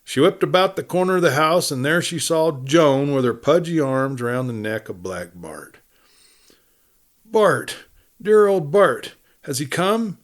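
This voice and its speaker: A male narrator with an American accent and a mid-pitched voice reads a western tale. His delivery is dramatic storytelling, in the manner of an audiobook. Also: a bit bright.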